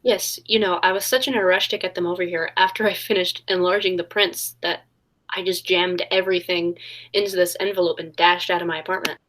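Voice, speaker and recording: An actress playing a trusting, polite heroine in a 1940s-style radio detective play, speaking earnestly. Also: female voice, on the low side, level tone, fairly quick, a bit bright, poor audio. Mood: curious.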